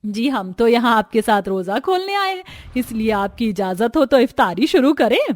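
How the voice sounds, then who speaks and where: A woman voicing a kind, wealthy middle-aged mother-in-law in a cartoon story, speaking gently and with assurance. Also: a little high, very animated, normal speed, no room sound. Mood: generous, assured.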